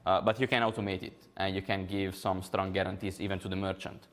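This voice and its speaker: A man's voice: low-pitched, little pitch movement, brisk, a bit bright. A young man with an Italian accent giving a technical conference talk, explaining at length with many ums and uhs. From Italy. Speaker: conference speaker.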